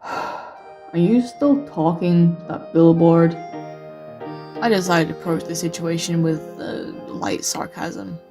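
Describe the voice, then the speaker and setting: A man playing a weary, sarcastic survivor who narrates life after the apocalypse in a deliberate, sighing, dramatic way. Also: a little high, fairly even intonation. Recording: music behind the voice. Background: music.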